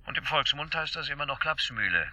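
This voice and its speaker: An actor playing a dry, sceptical chief inspector, laconic and matter-of-fact, in a radio drama. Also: male voice, a little high, lively intonation, a little fast, sharp tone, no room sound, muffled recording, full character voice. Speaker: detective.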